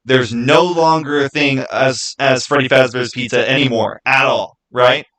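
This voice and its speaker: A man hosts a casual livestream in a mid-pitched voice. He talks off the cuff and somewhat haltingly, often turning to his viewers with rhetorical questions. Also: steady tone, a bit bright. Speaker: livestream host.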